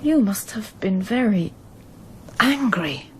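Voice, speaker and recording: An actress playing an earnest young woman who loves poetry, gently and directly probing in a radio drama. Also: medium pitch, expressive, takes it slowly, a little hiss or hum. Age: young adult.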